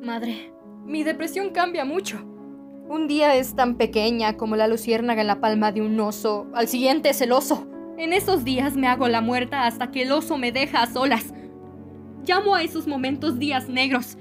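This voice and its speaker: A woman's voice: high voice, normal speed, over music, natural voice. A woman with a Latin American accent playing an anguished young woman confessing to her mother, in an emotive, pleading spoken-word delivery. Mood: subdued, brooding. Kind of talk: dramatic spoken-word poetry performance, fan dub.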